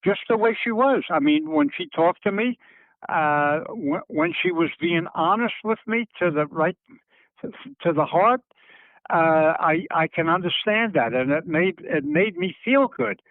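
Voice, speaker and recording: An older man speaking reflectively in a phone interview, halting and circling back as he searches for words, heard over a narrowband telephone line. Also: high-pitched, lively intonation, no echo. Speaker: interviewee recalling family history. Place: on a telephone call. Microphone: telephone handset.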